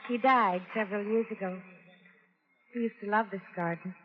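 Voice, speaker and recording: Reverberant, poised radio ingenue actress. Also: female voice, medium pitch, steady tone, moderate pace, natural voice. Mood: wistful, curious. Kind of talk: 1940s-50s radio drama acting. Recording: dull old radio recording, long reverb.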